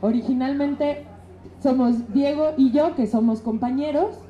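A woman's voice: high-pitched, unhurried. A middle-aged woman with a Mexico City accent, a musician explaining things casually in an interview. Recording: muffled, echoey room, faint noise.

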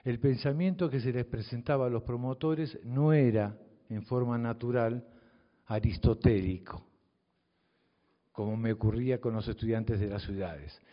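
An older man with a Southern Cone Spanish accent addresses an audience in a measured, reflective way, with deliberate pauses and a provocative edge. His voice sits at a middle pitch and carries a long reverb from a large hall. The sound is a bit dull and muffled. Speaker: speaker, mathematics teacher. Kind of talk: public speech to an audience.

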